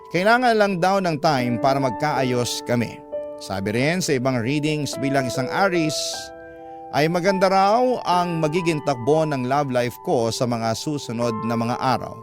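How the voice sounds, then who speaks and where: A man reading as a lovelorn young letter writer, confiding hopefully in first-person radio narration, in a measured way. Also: mid-range voice, lightly acted.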